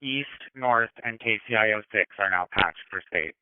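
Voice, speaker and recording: A man's voice: lowish voice, normal speed, clear, resonant tone, no room sound. A man on two-way police radio, relaying information in a matter-of-fact way. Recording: narrow-band police scanner radio audio.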